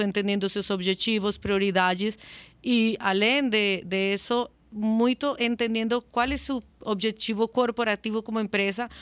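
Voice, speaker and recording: A woman with a Spanish-speaking accent, at a medium pitch, explains things carefully and pauses to find her words. The sound is dry and close to the mic. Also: a bit bright, clean tone, dry recording. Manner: careful, searching for Portuguese words, self-correcting. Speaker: guest, customer success manager. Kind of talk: podcast interview answer.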